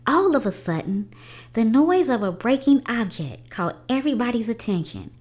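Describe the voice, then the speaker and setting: A woman reading a children's story aloud as a storyteller, with a dramatic, expressive delivery. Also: fairly high pitch, moderate pace.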